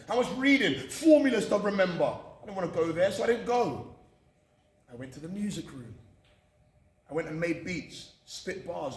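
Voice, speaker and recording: A British man giving a candid, emphatic motivational talk to students, repeating phrases for effect. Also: fairly high pitch. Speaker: motivational speaker at a college. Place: indoor room at a college. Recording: echoey room from far off, faint noise.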